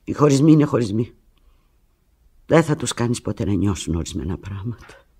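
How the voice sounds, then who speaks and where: An actress playing a desperate, pleading abandoned woman in a radio drama phone monologue, with a low, husky voice and an intense, fragmented delivery. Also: big pitch swings, exaggerated voice. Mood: wounded, resigned. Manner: intense, fragmented, swinging from hushed to anguished.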